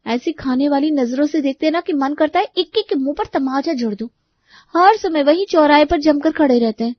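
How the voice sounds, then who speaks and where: High-voiced, plaintive college girl, acted by a woman. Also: brisk, no echo, highs cut off, close to an everyday voice. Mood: complaining. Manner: complaining, plaintive. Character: aggrieved young female college student, daughter. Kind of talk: animated story dialogue.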